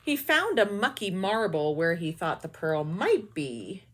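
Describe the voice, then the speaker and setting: A woman teacher reading a children's picture book aloud with expressive storytelling and quick, quiet asides. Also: mid-range voice, slight background noise.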